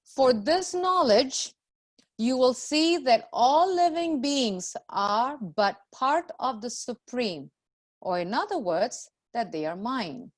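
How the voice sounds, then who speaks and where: Woman, high-pitched voice, very slow pace, spiritual teacher, didactic, repetitive phrasing, rhetorical questions. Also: lively intonation. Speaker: spiritual teacher leading a group class. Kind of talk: scripture lecture with questions to the group.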